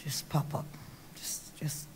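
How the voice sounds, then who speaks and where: A middle-aged woman from Trinidad preaching an emphatic sermon on a handheld mic, going from hushed to loud. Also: takes it slowly. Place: large banquet hall on stage. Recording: long hall reverb.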